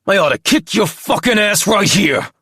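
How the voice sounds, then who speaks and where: A man's voice: on the high side, sing-song, slow pace, fairly bright tone, slightly rough, dry recording, clean studio sound, pitched above the performer's own voice, full character voice. A man voicing a burly, protective male cop who snarls threats in a raised, strained voice. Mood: furious.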